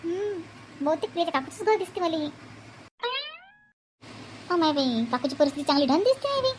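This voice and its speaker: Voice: extremely high pitch, animated, fairly quick, slight background noise, low-quality recording. A performer voicing a bossy, grumbling village auntie in a family comedy cartoon, scolding and complaining in a colloquial Vidarbha Marathi accent. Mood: muttering, nosy.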